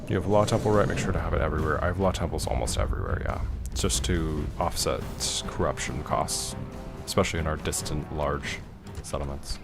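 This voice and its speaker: A man casually talking through a strategy game as he plays, thinking aloud and answering viewer questions in a relaxed way. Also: rumbling low, fairly quick, gravelly, music in the background. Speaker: streamer playing a strategy game. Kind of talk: casual gameplay commentary reading chat.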